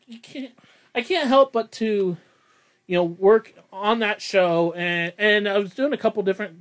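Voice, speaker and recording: A young man hosting a casual podcast, rambling and self-deprecating, laughing often. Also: high voice. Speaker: podcast host.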